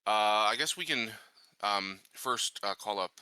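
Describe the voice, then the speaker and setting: A man playing a tabletop game, improvising casually and haltingly as he searches for words. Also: lowish voice, expressive, bright, forceful tone, clear, resonant tone, dry recording.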